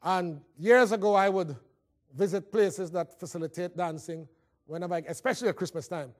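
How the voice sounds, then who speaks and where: A man's voice: high-pitched, fairly quick. A man with a Caribbean accent giving a motivational talk, telling humorous personal stories in a theatrical, conversational way. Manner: theatrical storytelling, acting out dialogue. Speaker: motivational speaker.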